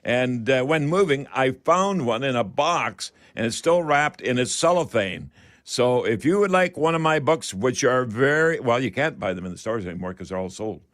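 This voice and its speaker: A man's voice: mid-range voice, animated, moderate pace, fairly bright tone. An older man with a Canadian accent hosts a home improvement radio call-in show in a chatty, folksy way, talking straight to his listeners.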